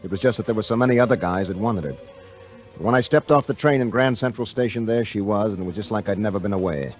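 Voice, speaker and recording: An actor playing an easygoing young navy veteran diver with an American accent, breezy and colloquial, in old radio drama style. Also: male voice, fairly low pitch, fast talker, soft background music, close to an everyday voice, rough recording. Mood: wistful, breezy. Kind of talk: 1950s radio acting, first-person narration. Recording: vintage 1950 radio recording.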